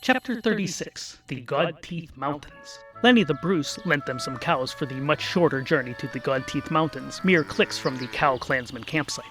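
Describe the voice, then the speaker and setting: A man's voice: a little high, normal speed, few pauses, fairly bright tone, faint music behind. A man reading scripted narration for a comic fantasy story as its narrator.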